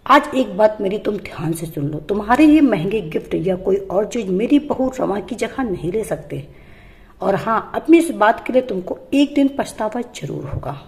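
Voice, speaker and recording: A woman's voice: medium pitch, lively intonation, moderate pace, a little mellow, natural voice. A woman storyteller playing a stern, protective older mother-in-law with a firm, scolding manner.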